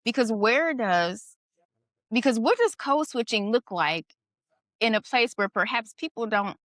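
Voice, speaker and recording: A woman's voice: on the high side, animated, measured pace, a bit bright, dry recording, clean studio sound. A middle-aged woman hosting a casual conversation, thoughtful and questioning, often adding "you know" and "right". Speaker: podcast host.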